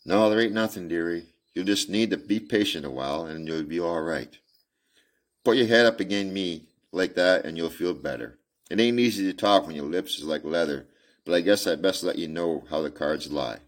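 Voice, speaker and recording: A man reading the part of a weary frontier man, gentle with a child, in a folksy drawl. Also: low-pitched, moderate pace, close to an everyday voice. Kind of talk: amateur audiobook reading.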